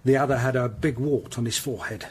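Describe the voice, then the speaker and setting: A man's voice: mid-range voice, fast, close to an everyday voice. Man acting as troubled Tudor tradesman, background noise. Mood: shaken, distressed. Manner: hesitant, troubled, deferential. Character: anxious Tudor print-trade master, reluctant neighbour witness. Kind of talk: period audio drama acting.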